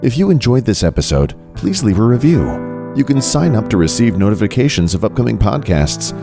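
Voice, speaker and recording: A man's voice: lowish voice, very animated, a little fast. A man reading a scripted podcast outro as the show's announcer. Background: plucked guitar music.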